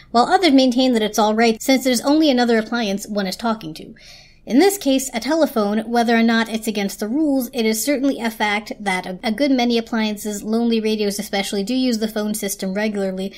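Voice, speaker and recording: A woman reading aloud as a storyteller, in a dramatic storybook narration style. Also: a little high. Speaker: story reader.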